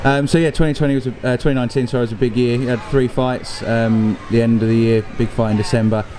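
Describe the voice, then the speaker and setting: An Australian man interviewing a boxer in a relaxed, friendly way, with frequent filler words. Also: medium pitch, fast talker, very noisy. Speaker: boxing interviewer. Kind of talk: casual interview.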